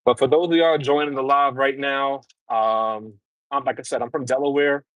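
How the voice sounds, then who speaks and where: Casual New guy, home cook on a live stream, chatty and relaxed, mid-pitched voice, dry close sound. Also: normal speed, no echo, lo-fi sound. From New York City, Brooklyn. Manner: easygoing, chatty, answers viewer comments while cooking. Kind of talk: casual livestream cooking chat.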